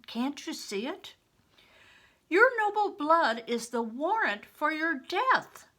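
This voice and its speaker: A woman's voice: sits high, sing-song, slow, bright, forceful tone, pitched above the performer's own voice, full character voice. A woman voicing an earnest older widow in a storybook reading, giving urgent, pleading warnings with heavy emphasis. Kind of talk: audiobook character reading.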